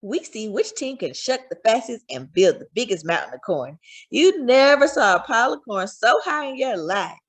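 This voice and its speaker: A loving storybook mother, acted out by a woman reading aloud. She speaks gently to her young son in a slightly raspy voice that rises and falls freely, full of hope and comfort. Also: on the high side, moderate pace. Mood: fond, animated. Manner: gentle, expressive. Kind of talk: storybook character voice.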